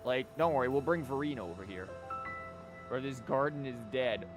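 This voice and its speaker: A man's voice: mid-range voice, normal speed. A man giving casual playthrough commentary as a game streamer, with wry, joking, rambling asides. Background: game music.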